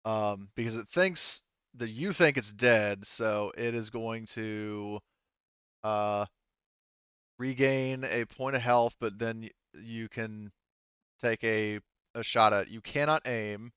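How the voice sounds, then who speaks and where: A man running a tabletop roleplaying game as game master, talking through rules and turns in a halting, deliberate, thinking-aloud way. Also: fairly low pitch, a bit bright, smooth, no echo, muffled recording. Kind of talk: improvised tabletop game narration and rules talk.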